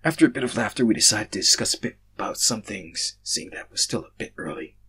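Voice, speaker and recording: A man's voice: fairly low pitch, lively intonation, fast, fairly bright tone, husky. A man reading a fan fiction story aloud in an amateur way, sometimes stumbling over words and correcting himself.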